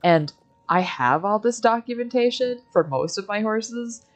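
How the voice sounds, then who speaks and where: A woman's voice: mid-range voice, expressive, unhurried. A woman chatting informally and casually while playing a game on a livestream.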